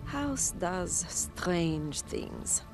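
A woman's voice: lowish voice, very animated, very slow, full character voice. A haughty French cultist in a video game, speaks with serene, mystical grandeur and an aloof air. Her lines sit over prominent music. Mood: aloof, cryptic. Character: haughty, serene female cultist, a talking severed head. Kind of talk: video game character acting.

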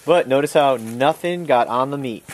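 A man calmly instructing a student step by step during an outdoor survival skills demonstration, recorded on a camera mic. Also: mid-range voice, normal speed. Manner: calm, instructive, talks through each step. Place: outdoors in a wooded clearing.

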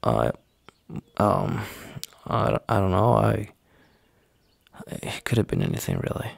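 Man acting a gravelly, hesitant young boyfriend. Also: fairly low pitch, big pitch swings, no room sound, heavily acted. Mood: tentative, concerned. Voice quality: husky, gravelly. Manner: halting, hesitant, soft-spoken, sighing. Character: young boyfriend, anxious, tender, hesitant, easily exasperated. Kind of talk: boyfriend roleplay ASMR.

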